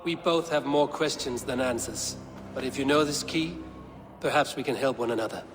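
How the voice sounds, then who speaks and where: An actor playing a grim, proud Norse warrior with a measured, defiant manner in a video game. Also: male voice, mid-range voice, natural voice. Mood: grave, measured. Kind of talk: video game cutscene acting. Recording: long reverb, noisy ambience behind.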